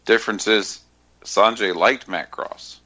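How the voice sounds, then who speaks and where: A man co-hosts a wrestling podcast with dry, teasing humor. His voice is low but bright and forceful. The audio sounds dull and lo-fi. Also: steady tone, measured pace, no echo. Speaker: podcast co-host. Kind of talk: casual chat.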